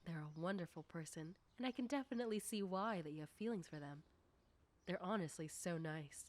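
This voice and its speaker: A woman playing a confident, nosy popular high school girl in an ASMR roleplay, talking coyly and teasingly to the listener. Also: expressive, brisk, lightly acted. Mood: coy, playful.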